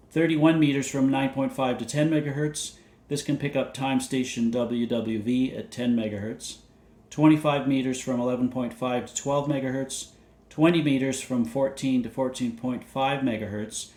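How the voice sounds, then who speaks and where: A man presenting a scripted technical overview in a measured, matter-of-fact way, reading out details methodically. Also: mid-range voice, darkish tone. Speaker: presenter of vintage radio videos. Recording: roomy, echoey indoor sound.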